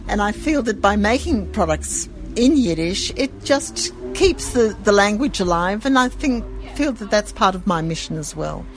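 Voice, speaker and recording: A woman's voice: mid-range voice, animated, moderate pace, soft background music. A middle-aged woman who owns a gift shop, chatting casually in an interview in her store.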